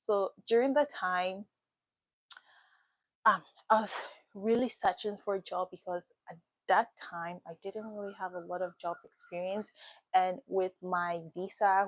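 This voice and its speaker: A woman's voice: medium pitch, measured pace, dry recording. A young woman from Ghana, hosting her own live stream and casually chatting, reading out viewer comments as she goes. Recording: dull dry lo-fi sound.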